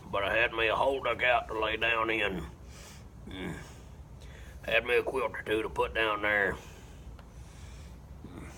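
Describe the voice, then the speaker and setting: Casting: simple rural Southern man for film-style monologue; lowish, hard-edged voice, deadpan, halting, grunting hums. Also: a little hiss or hum. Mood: flat, matter-of-fact. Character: slow, simple-minded rural Southern man confessing killings flatly. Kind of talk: dramatic film monologue rendition.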